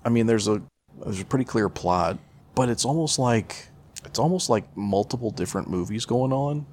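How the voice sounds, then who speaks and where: A man's voice: lowish voice, expressive, normal speed, darkish tone, a little hiss or hum. A man co-hosting a casual film chat, feeling his way through his opinions with hesitant phrasing and some swearing. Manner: hesitant, searching for words, casually profane. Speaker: podcast co-host reviewing a film.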